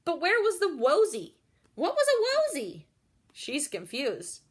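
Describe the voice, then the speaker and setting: A woman's voice: extremely high pitch, huge pitch swings, measured pace. A woman reading a picture book aloud expressively, with chatty asides addressed straight to young listeners. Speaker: storyteller reading to children.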